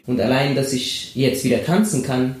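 A young man from Colombia talks casually as a guest, in a mid-range voice. He is relaxed and hesitant and leans on fillers between thoughts. The recording carries a roomy echo. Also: medium pitch, moderate pace, soft background music. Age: 20s. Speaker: dance coach, guest. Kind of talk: casual interview talk.

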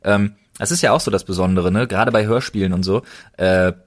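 A man with a fairly low voice talks casually as a podcast co-host. He sounds enthusiastic, leaning hard on emphatic, slangy words as he chats. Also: a little fast.

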